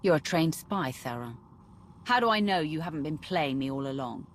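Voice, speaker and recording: Ruthless female Sith commander, low voice, cold, menacing, commanding, video game cutscene. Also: expressive, normal speed, a little hiss or hum. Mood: suspicious, cold. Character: ruthless vengeful Sith warrior commander, adult woman. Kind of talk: cinematic video game voice acting.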